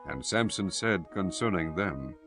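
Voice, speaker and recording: A man's voice: sits low, lively intonation, measured pace. A man reading scripture as a scripted narrator, solemn and formal. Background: prominent music.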